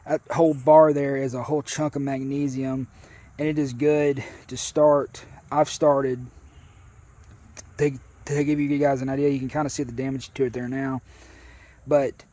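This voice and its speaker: A man's voice: medium pitch, level tone, moderate pace, mellow. An American man, around his thirties, casually reviewing gear outdoors in a folksy, conversational way. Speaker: outdoor video host. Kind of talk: casual product review to camera. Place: outdoors in a grassy rural yard.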